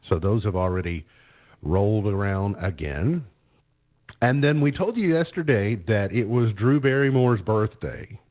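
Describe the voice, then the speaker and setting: A man's voice: on the low side, very animated, measured pace, a little mellow. A man hosting a radio show, chatting casually through entertainment news in an easygoing way and sometimes trailing off mid-sentence.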